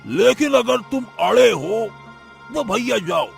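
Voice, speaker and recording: A man playing a mysterious, mocking forest elder in a cartoon story, giving drawn-out ominous warnings in a gravelly voice. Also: extremely high pitch, animated, soft background music, heavily acted. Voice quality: very creaky, gravelly.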